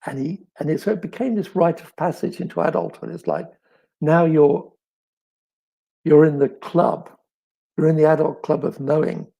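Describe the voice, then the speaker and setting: A middle-aged man with a crackly, raspy voice, as if with a frog in his throat, chatting reflectively as an author and telling stories with thoughtful pauses and emphasis. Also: fairly high pitch, fairly even intonation, dark tone. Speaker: author answering audience questions. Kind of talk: reflective live chat, storytelling.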